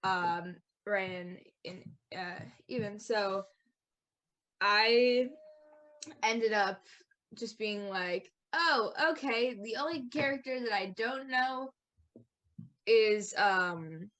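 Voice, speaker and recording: A woman's voice: medium pitch, extremely slow, a bit bright. A woman voice actor chatting casually on a podcast commentary. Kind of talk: casual chat.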